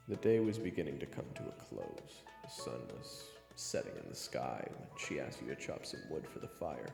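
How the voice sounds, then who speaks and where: A man with an extremely low, creaky voice delivers dramatic scripted fantasy narration. His measured, theatrical reading swings expressively, sometimes dropping hushed. It sits in long reverb with prominent music behind. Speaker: storyteller and narrator of an audio roleplay series. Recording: roomy sound with long reverb.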